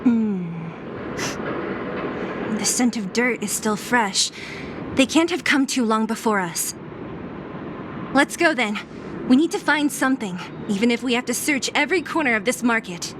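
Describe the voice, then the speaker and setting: Brash, high-pitched, animated young woman fighter. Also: measured pace, long pauses, background noise. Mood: brisk, probing. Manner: teasing, blunt, scolding. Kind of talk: video game cutscene voice acting.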